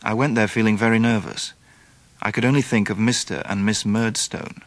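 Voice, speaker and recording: A man's voice: fairly low pitch, steady tone, normal speed, no room sound, natural voice. Man acting a reflective English narrator, dry studio sound. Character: grown gentleman reflectively recalling his boyhood. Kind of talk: scripted first-person radio drama narration.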